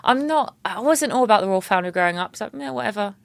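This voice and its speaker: A woman's voice: medium pitch, lively intonation, fast talker, vocal fry, dry recording. A woman in her twenties with a South East London accent, chatting casually as a podcast guest, repeating words and laughing often. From England. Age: 20s. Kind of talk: casual interview chat.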